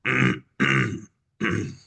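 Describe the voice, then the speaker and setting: A hoarse middle-aged man teaching a Talmud class in a halting way, often clearing his throat. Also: bright, forceful tone. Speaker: Torah teacher.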